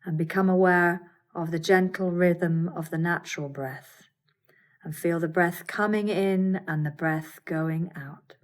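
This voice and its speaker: Lower-voiced woman guiding a meditation. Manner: calm, soothing, deliberate instruction with pauses. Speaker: yoga nidra teacher guiding a relaxation practice. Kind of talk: guided meditation.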